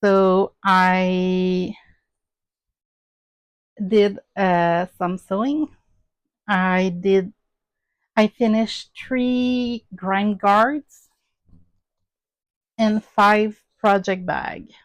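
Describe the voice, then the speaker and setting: Slow, hesitant woman with a Québécois French accent. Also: medium pitch, fairly even intonation, no echo. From Quebec, Canada. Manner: hesitant, many "um" and "uh" fillers. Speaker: crafting channel host. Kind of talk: casual show-and-tell vlog.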